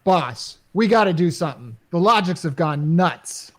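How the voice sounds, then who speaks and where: Wisecracking male office worker for radio comedy, played by a woman: colloquial, urgent, very animated intonation. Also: on the low side, moderate pace, faint music behind. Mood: alarmed. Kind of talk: old-time radio comedy acting.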